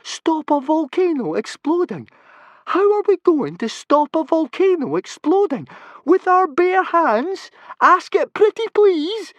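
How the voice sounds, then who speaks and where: A man doing a squeaky panicky boy. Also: very animated, slow, no room sound, dull audio, heavily acted. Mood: incredulous. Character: squeaky anxious boy, nervous, sarcastic.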